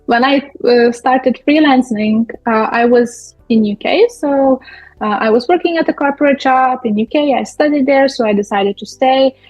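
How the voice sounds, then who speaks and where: Young woman, husky voice. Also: high voice, darkish tone, no room sound, faint music behind. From Lithuania. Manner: friendly, halting, with many uhs and ums. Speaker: guest, business owner. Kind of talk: casual interview talk. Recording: muffled, dull audio.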